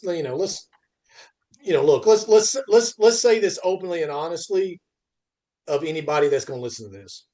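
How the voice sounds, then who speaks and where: A man with a somewhat high voice hosts an unscripted business podcast. He repeats the starts of his phrases as he works toward a point, then lands it with emphasis, often answering his own questions. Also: a little mellow. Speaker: podcast host and freight industry commentator. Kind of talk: unscripted industry discussion.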